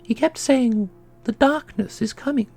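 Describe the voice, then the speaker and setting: A man voicing a solemn, knowing keeper of an ancient magical order in a fantasy audio roleplay, speaking in a formal, measured way. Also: very high-pitched, gravelly, a little mellow, full character voice. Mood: solemn, wistful. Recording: music under the voice.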